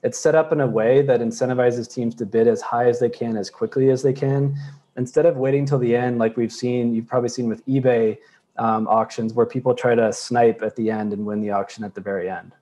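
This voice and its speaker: A man in his thirties talks through a slide presentation on a webcam video call from a room at home. His voice is mellow, and he explains steadily with frequent "um"s and "kind of"s. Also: mid-range voice, fairly even intonation, brisk. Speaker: crypto project presenter. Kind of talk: explaining slides at a virtual conference.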